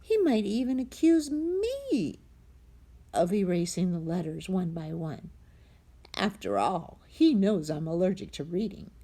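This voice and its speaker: Expressive, husky-voiced woman reading a children's story. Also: lowish voice, unhurried. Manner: dramatic, hushed suspenseful drops into whispers. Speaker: storybook reader.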